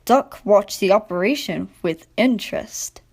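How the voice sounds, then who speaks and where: A woman's voice: mid-range voice, expressive, a little slow, a little mellow, husky. A woman narrating a scripted children's storybook.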